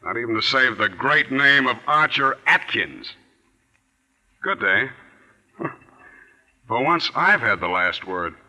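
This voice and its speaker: A man's voice: mid-range voice, animated, takes it slowly, long pauses, pushed and bright, exaggerated voice, rough recording. An actor playing a smug, needling newspaper editor who gloats over his rival in a 1940s radio drama. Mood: smug triumph. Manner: needling, mock-soothing. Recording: old 1940s radio broadcast recording.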